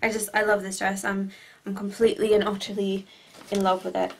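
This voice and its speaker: A young Irish woman in her twenties, a bit croaky, chatting in a gushing, bubbly way with lots of fillers, filmed by a desk camera in her bedroom. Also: mid-range voice, little pitch movement, brisk, noisy background. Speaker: beauty vlogger. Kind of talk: casual haul video. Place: bedroom at home.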